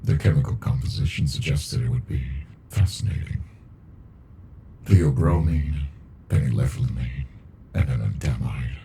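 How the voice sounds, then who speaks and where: A man voicing an ancient, weary alien monster in captivity for an ASMR roleplay, speaking in a gravelly, brooding murmur, condescending yet intimate. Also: normal speed, dark tone, a little hiss or hum. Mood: fascinated, amused. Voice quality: very deep, gravelly, breathy murmur. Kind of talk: ASMR character roleplay monologue.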